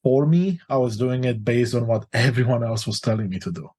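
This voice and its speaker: A man's voice: mid-range voice, fairly quick, a little mellow, husky. A forty-year-old man from Romania, a life coach telling his life story in an interview, earnest and open. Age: 40s.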